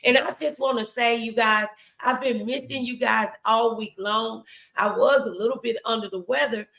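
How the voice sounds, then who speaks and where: A woman's voice: fairly high pitch, vocal fry, a bit bright. A woman hosting and preaching, talking to her viewers in an emphatic, exhortatory motivational style. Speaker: show host and preacher. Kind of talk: motivational faith talk on a livestream.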